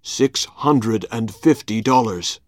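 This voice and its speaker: A man reading a storybook aloud, voicing a cold, menacing villain who speaks in a low, measured way. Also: expressive, a little mellow, no room sound, studio quality. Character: menacing male villain Injun Joe, vengeful.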